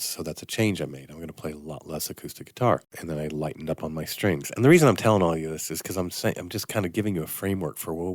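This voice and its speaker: A man's voice: deep voice, no echo, clean studio sound. An older man, an orthopedic surgeon, hosting a Sunday morning radio health show, talking in a rambling, self-deprecating way with a slight sniffle. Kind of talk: casual radio health talk. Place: radio studio.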